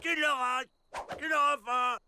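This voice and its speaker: A man voicing a dim, playful cartoon dog with a childlike, repetitive way of talking, in a French animated series. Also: extremely high pitch, unhurried, noisy background. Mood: playful, eager. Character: dim, playful dog obsessed with fetching sticks.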